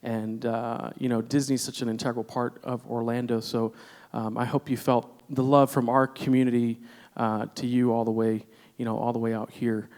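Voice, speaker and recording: A man's voice: medium pitch, level tone, darkish tone. Earnest man chatting on a concert stage. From Puerto Rico. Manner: earnest, halting, with many fillers. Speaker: guest singer. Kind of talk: candid stage chat between songs.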